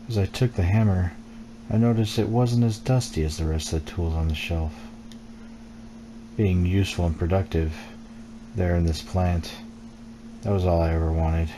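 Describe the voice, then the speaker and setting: A man reading the text of a horror game aloud as scripted storytelling while streaming it. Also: low voice. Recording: clear background noise.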